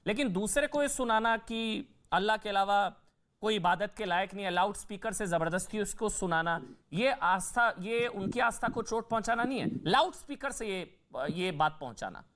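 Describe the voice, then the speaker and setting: A man's voice: high-pitched, animated, moderate pace, fairly bright tone. A man hosting a heated TV news debate, firing insistent, repeated questions and cutting in. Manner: insistent, repetitive, interrupting questioning. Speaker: TV debate host.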